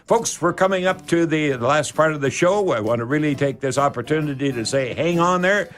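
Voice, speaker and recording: A man hosting a radio advice show on home repair, speaking in a halting, folksy way and repeating words for emphasis. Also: fairly high pitch, animated, a bit bright.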